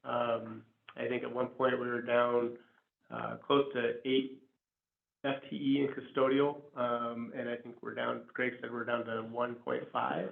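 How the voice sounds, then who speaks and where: Hoarse man with a cold, flat gravelly voice, echoey room, phone-like sound. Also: mid-range voice, measured pace. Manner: casual reporting with fillers. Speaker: school district administrator. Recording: tinny, echoey room audio.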